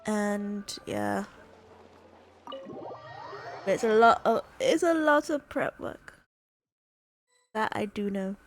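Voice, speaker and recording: A woman giving casual gameplay commentary, halting as she thinks aloud. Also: mid-range voice, expressive. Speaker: game streamer. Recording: game music behind the voice.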